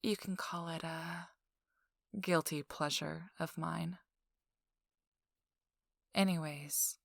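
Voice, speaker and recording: Woman playing a slow, sultry, teasing socialite. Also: low-pitched, vocal fry, no echo, studio quality, heavily acted. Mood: coy, intrigued.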